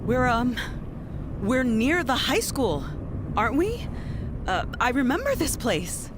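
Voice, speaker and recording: A frightened female captive in an audio drama, trying to placate. Her voice is high and lively in pitch, hesitant and pleading, with noisy ambience behind it. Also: takes it slowly, exaggerated voice. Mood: nervous. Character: anxious, placating young woman held captive.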